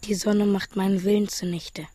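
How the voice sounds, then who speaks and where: An actress playing a melancholy, exhausted wanderer, breathy and half-whispered, as an inner monologue in a radio play. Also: female voice, medium pitch, little pitch movement, normal speed, a crowd in the background, lightly acted.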